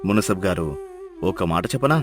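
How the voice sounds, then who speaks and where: A man voicing a clever, humble donkey herder who speaks softly and persuasively in a Telugu moral story. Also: low-pitched, lively intonation, voice pushed down. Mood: wise, gentle. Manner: soft, measured, gently persuasive.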